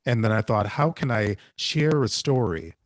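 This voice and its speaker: A man speaking reflectively as a writer on a discussion panel, haltingly repeating phrases as he thinks. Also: fairly low pitch, lively intonation, dry recording, studio quality. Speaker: panelist, audio drama writer.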